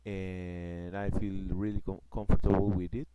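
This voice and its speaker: A man from Peru with a Peruvian Spanish accent, a native Spanish speaker explaining his trading plan in a casual vlog. He talks haltingly, searching for words, with many fillers and restarts. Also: low-pitched, little pitch movement, muffled recording. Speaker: forex trader vlogger. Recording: loud background noise.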